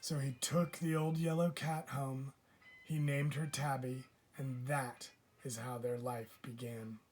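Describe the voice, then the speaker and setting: A man reading a children's picture book aloud as a teacher would, with measured, deliberate phrasing. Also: medium pitch, level tone, a little mellow, slight background noise. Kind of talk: children's storybook read-aloud.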